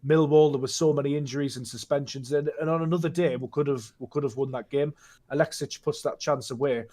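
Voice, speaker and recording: A man's voice: a little high, fairly even intonation, quick pace, mellow. A man giving casual, thoughtful football analysis as a guest, often restarting his sentences. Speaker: guest pundit.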